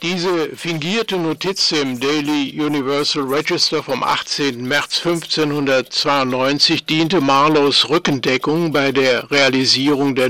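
A spymaster assesses an agent in a radio drama. His voice is rather high and forceful, his phrasing precise and calculating, in a dry studio sound. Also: dry recording, poor audio. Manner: precise, formal, analytical. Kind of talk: German radio drama, analytical report.

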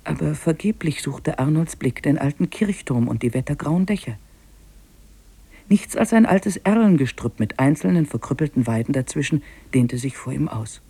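Male narrator, 1950s radio play, dark warm tone, husky, mid-pitched, solemn literary storytelling. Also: animated, moderate pace, dry recording.

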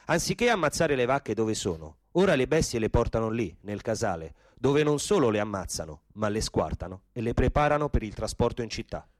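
Guy narrating a radio play, theatrical and rhythmic, pitch moves around a lot, very dry sound. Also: very animated, brisk, hardly any pauses, no echo. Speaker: actor-narrator.

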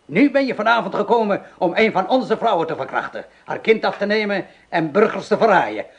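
A man's voice: high-pitched, animated, fairly quick, dull audio. An actor playing a vengeful village man who stages a mock trial as its president, theatrical and menacing. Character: vengeful male village ringleader acting as judge. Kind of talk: Dutch radio drama acting.

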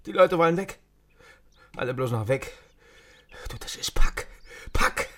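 A man's voice: medium pitch, lively intonation, a little slow, fairly bright tone. A volatile, bitter ranting man. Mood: agitated, despairing. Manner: volatile, ranting, repeats himself, drops to whispers. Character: bitter, agitated East German secret service man, fiercely loyal. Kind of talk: naturalistic radio drama acting.

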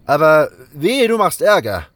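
A man voicing an aggressive bandit guard in a fantasy audio drama, shouting threats, with music underneath. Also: fairly high pitch, sing-song, measured pace, no room sound, pitched above the performer's own voice. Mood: threatening.

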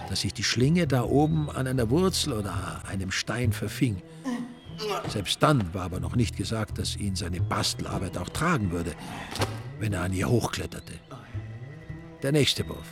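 Deadpan, low-voiced male narrator. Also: measured pace, long pauses, music in the background. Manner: dry, wry, deadpan. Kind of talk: scripted crime story narration.

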